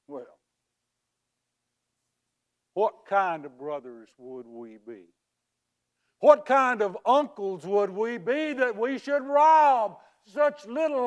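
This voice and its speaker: An actor playing a smarmy con man who poses as a pious, grieving English uncle, with theatrical, drawn-out oratory in a literary comedy. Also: on the high side, darkish tone, heavily acted. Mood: grandiose, pleading. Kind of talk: dramatic acting in a literary comedy.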